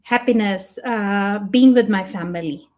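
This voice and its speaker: A woman's voice: medium pitch, a little slow, darkish tone. A woman whose first language is Punjabi, speaking as a podcast guest in an earnest, reflective way, thinking aloud. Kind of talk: casual interview talk.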